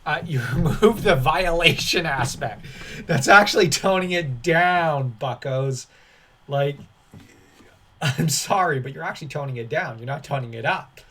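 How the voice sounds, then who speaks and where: A man hosting a commentary channel, talking casually and haltingly, with a dismissive, sarcastic streak. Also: fairly high pitch, bright, forceful tone, slightly rough.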